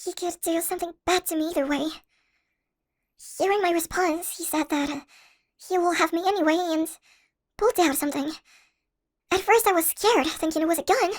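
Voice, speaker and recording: Very high-pitched anxious college girl, acted by a woman. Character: anxious, chatty shrunken college girl, class president. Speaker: audio roleplay voice actor. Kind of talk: first-person audio roleplay, conversational acting.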